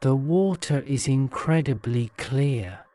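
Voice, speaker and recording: Slow, smooth man narrating a sleep story. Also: medium pitch, dark tone, dry recording. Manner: soothing, measured.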